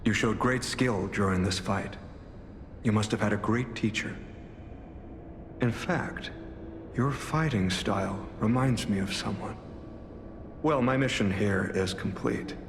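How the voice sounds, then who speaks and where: A grave video game warrior, speaks in a deep, gravelly register. His delivery is measured, formal and courteous. The sound rings with long hall reverb over audible noise. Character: grave, courteous male warrior on a solemn mission.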